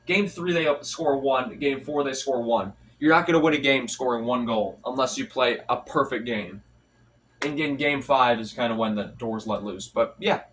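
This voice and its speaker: A man's voice: mid-range voice, normal speed, a bit bright, distant mic, a little hiss or hum. A young man with a congested, stuffy voice hosting a casual solo hockey recap, rattling off stats with frequent restarts and fillers. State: congested from allergies. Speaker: hockey commentary channel host.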